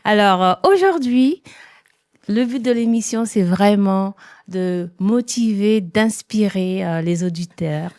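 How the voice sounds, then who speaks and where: A woman's voice: mid-range voice, slow pace, a little mellow, no room sound, clean studio sound. A woman from Haiti hosting an interview podcast, welcoming and enthusiastic, with plenty of hesitant fillers. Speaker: podcast host.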